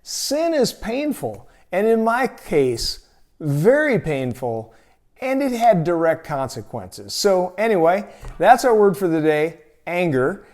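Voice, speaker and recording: Animated American man telling folksy stories. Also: sits high, slow pace. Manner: folksy, expressive, conversational. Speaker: storyteller host. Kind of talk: casual personal storytelling with a moral.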